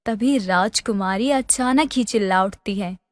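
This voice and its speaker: A woman's voice: mid-range voice, measured pace, dry recording. A woman storyteller reading a scripted fairy-tale narration.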